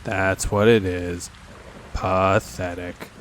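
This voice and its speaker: A man voicing a gloomy old grey donkey from a children's story, slow, doleful and resigned. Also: deep voice, flat delivery, slight background noise, voice pushed down.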